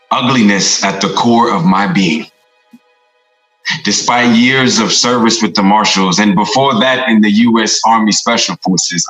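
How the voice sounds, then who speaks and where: A man playing a self-loathing American ex-lawman and war veteran who drinks hard. His voice is low and brooding, with halting phrases in a confessional monologue. It sounds roomy, with music underneath. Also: a bit bright.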